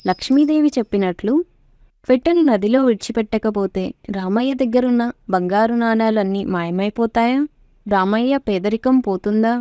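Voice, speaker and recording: A woman's voice: on the high side, normal speed, warm tone, clean tone, no room sound, dull audio. A woman narrator reading a scripted moral story for children in a storytelling style.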